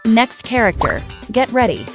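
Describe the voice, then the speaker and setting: A woman's voice: fairly high pitch, normal speed. A woman reading scripted quiz narration as a host, in a voice-over. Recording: music playing behind the voice.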